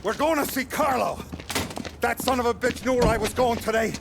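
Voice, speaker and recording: An actor in a video game playing a commanding, wry, vengeful mafia boss with a dry, authoritative manner. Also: male voice, very high-pitched, brisk, gravelly, background noise. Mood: bitter, determined.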